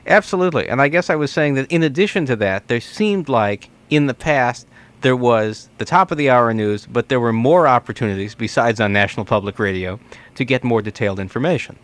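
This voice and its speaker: A man's voice: medium pitch, a little fast, a bit bright. An American man hosting a radio interview and call-in show, asking questions and fielding callers. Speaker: radio host.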